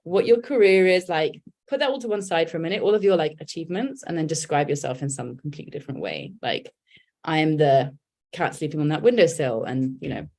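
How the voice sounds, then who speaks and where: Low-voiced reflective Englishwoman. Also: expressive, fairly quick, darkish tone. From England. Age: 40s. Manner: thoughtful, candid, many restarts and fillers. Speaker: guest, poet and writer. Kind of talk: reflective conversational interview.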